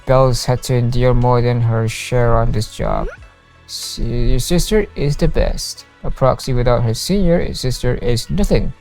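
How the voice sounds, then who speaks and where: A man's voice: mid-range voice, measured pace, darkish tone, faint music behind. A man streaming a game, reading its dialogue aloud and reacting to it.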